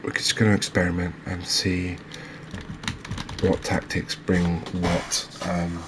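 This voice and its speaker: A soft-spoken man giving relaxed, unhurried ASMR commentary on a video game, speaking close to the mic. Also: low voice. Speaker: gaming video creator. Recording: clear background noise, ambience.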